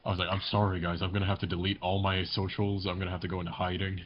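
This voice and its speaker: A man co-hosting a podcast, chatting casually in a deadpan manner. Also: low voice, racing, slight background noise. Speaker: podcast co-host. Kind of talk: casual chat. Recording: muffled lo-fi mic, voices behind.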